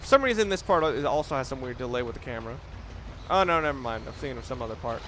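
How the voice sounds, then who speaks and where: Hesitant man over clear background noise. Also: medium pitch, animated, very fast. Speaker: let's play commentator. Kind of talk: casual gameplay commentary. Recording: clearly noisy home recording.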